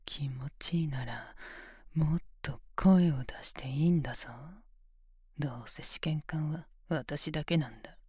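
A woman playing a low, breathy domineering examiner. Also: measured pace, a little mellow, dry recording, exaggerated voice. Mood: whispered taunting. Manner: taunting, commanding, mostly whispered close to the ear. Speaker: voice actor in an audio roleplay. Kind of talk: whispered ASMR roleplay.

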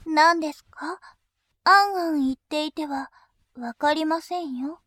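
A woman voicing a composed female bank clerk who speaks in very formal, deliberate language and turns dominating and scornful. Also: high voice, bright, forceful tone, no echo, studio quality. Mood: polite teasing. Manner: very formal polite speech, slow and deliberate. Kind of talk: adult audio drama acting.

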